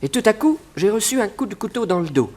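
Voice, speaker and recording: A man's voice: high-pitched, sing-song, quick pace, a little mellow. An actor playing a humble, formal, deferential male servant and bodyguard in a 1960s French radio serial. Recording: noisy old radio recording.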